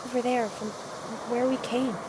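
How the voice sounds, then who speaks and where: A woman's voice: on the high side, little pitch movement, normal speed, close to an everyday voice. A woman playing a curious, anxious young girl in an audio drama, hesitant and full of questions. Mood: frightened. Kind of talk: cinematic audio drama acting. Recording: clear background ambience noise.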